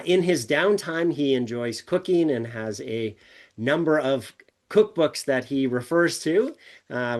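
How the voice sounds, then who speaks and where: A man hosting a business podcast, introducing a guest and asking questions upbeat with frequent fillers. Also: mid-range voice. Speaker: podcast host, business coach.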